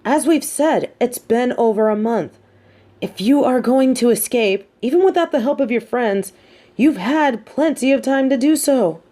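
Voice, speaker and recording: A woman playing a sardonic, teasing supervillain with a hidden soft side, talking straight to the listener in an audio roleplay with theatrical, mocking emphasis. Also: a little high, a little slow. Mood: wry, teasing. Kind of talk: scripted audio roleplay monologue spoken to the listener.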